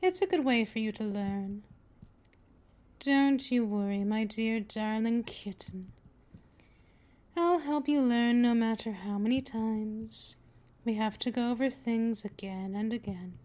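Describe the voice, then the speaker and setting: Casting: actress as a possessive female vampire mistress for ASMR audio, higher-pitched, very unhurried, hypnotic and dominant. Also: darkish tone, close to an everyday voice. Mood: patient, coaxing. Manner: slow, coaxing, soothing, often drifting into whispers. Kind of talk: scripted ASMR roleplay, hypnotic monologue.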